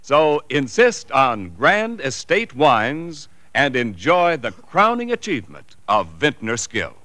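Animated, forceful American radio announcer. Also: male voice, mid-range voice, slow. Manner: polished, emphatic salesmanship. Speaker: commercial announcer. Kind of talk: 1940s radio advertisement, scripted.

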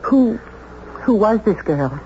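An actress playing a timid, earnest, polite secretary in a 1970s radio drama. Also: female voice, mid-range voice, very animated, takes it slowly, darkish tone. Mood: guarded. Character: timid, proper, lonely long-serving office secretary. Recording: muffled, noisy 1970s radio recording.

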